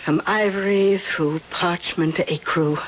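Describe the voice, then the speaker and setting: A woman's voice: on the low side, fairly even intonation, a little slow, close to an everyday voice. An actress playing a wealthy, fanciful older female recluse in old-time radio drama, with an affected, languid, grand way of talking. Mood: grand, gracious. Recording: muffled, hissy old radio recording.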